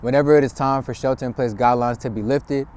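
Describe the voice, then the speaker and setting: Brief: rapid male reporter sign-off, playful, outdoors. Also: mid-range voice, steady tone, darkish tone, slight background noise. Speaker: student reporter. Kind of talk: news sign-off.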